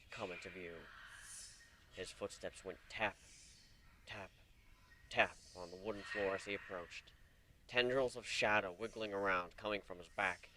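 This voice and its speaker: Man giving a hushed dramatic reading. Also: fairly low pitch, slight background noise. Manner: hushed and suspenseful, rising to shouts in tense moments. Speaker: reader. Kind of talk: dramatic reading of fan fiction.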